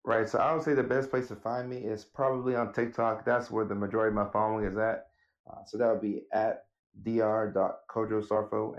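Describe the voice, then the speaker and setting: A man in his thirties, born in Ghana, answering interview questions in a relaxed way, with many "uh" and "you know" fillers. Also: on the low side, muffled recording. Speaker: guest, psychiatric nurse practitioner.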